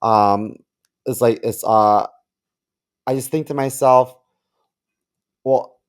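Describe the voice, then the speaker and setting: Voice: medium pitch, steady tone, slow. A person of unclear sex chatting casually on a podcast, hesitant and thinking aloud, with a joking streak.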